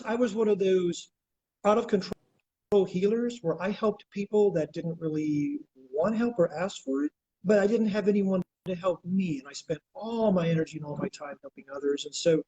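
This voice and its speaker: A man's voice: sits high, darkish tone, slightly rough, poor audio. A man in his sixties, born in Greece, answering as an interview guest and explaining ideas step by step in an earnest, lecturing way.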